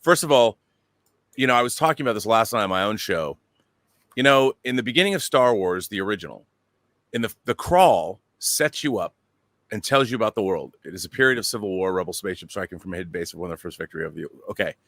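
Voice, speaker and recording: A man's voice: lowish voice, expressive, quick pace, a bit bright, no room sound. Emphatic man giving opinionated commentary, dry sound. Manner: emphatic, repeats himself, rhetorical questions. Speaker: show panelist.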